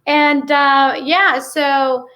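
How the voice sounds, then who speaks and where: A woman with a Mexican accent and Spanish as her first language tells her story casually and haltingly, often saying "you know.". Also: high-pitched, steady tone, bright, forceful tone, muffled recording. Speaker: interview guest, businesswoman.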